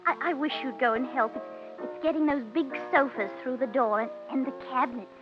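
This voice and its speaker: An actress playing a busy, brisk young woman of the household, bossy and dismissive, in an old-time radio drama. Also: sits high, lightly acted. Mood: harried, impatient. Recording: dull old radio recording, loud music.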